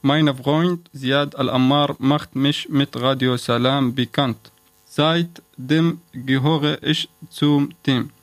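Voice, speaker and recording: A man in his thirties from Syria, a native Arabic speaker, answering interview questions slowly and carefully in a language he is still learning, in a radio studio. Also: mid-range voice, fairly even intonation, no echo. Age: 30s. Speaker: radio volunteer and news translator.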